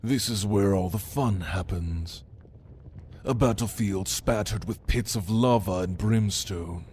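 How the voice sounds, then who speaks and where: A man's voice: lowish voice, darkish tone, a little hiss or hum. A man playing a proud, commanding demon lord in an ASMR roleplay, speaking in a theatrical, menacing manner to the listener. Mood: grim, instructive. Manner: slow, imperious, theatrical, menacing.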